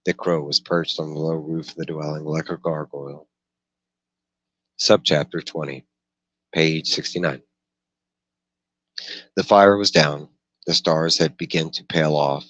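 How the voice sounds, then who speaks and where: Very deep-voiced man reading a novel aloud. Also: steady tone, a little slow, pauses often, fairly bright tone, no echo, low-quality recording. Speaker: audiobook reader.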